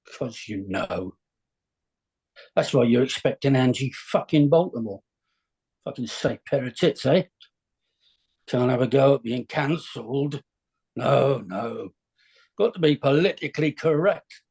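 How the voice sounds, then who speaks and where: A man's voice: medium pitch, expressive, measured pace, vocal fry, pauses often, a little mellow, breathy edge, full character voice. A man playing a drunk, bitter, dishevelled comedian in his late forties, rambling belligerently in a script reading. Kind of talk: screenplay read-through acting.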